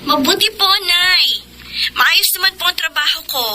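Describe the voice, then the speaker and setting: A woman's voice: very high-pitched, normal speed, crowd noise. An actress in a radio drama playing a respectful young daughter who works in the city, far from her parents. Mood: polite. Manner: polite, respectful.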